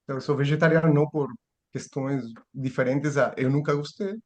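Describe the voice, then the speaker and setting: A man's voice: on the high side, flat delivery, brisk. A man giving a seminar lecture, thinking aloud with restarts and rhetorical questions.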